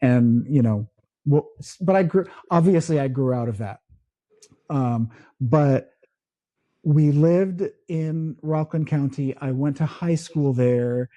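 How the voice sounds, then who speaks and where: A man from the Philippines, his first language Tagalog, co-hosting a podcast in casual chat, talking slowly and halting with many restarts. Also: on the high side, warm tone, no room sound, dull audio. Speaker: podcast co-host.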